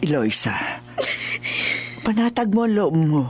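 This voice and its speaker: A woman's voice: on the low side, big pitch swings, unhurried, dark tone, soft background music. An actress playing a worried, devout and protective older mother in a Filipino radio drama, earnest and emotional, with a Filipino accent. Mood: soothing, fearful.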